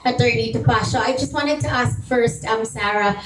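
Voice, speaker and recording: Fairly high woman asking questions. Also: moderate pace, slight background noise. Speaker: entertainment journalist. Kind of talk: asking questions at press conference. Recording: echoey room, distant mic.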